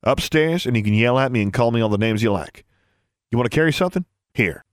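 A man voicing a calm, commanding, sardonic young male wizard in an audiobook, with a patronizing manner. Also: mid-range voice, fast talker, dry recording, studio quality, lightly acted. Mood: calm, impassive. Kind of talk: audiobook character voice.

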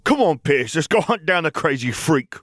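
A mean, jeering schoolboy bully played by a man, pushed well up in pitch from the reader's own voice. He taunts and shouts like a kid ruling the playground, in a dry storybook recording. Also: very animated, moderate pace, no echo. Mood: angry shouting. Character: mean, bullying nine-year-old schoolboy, loud and jeering.

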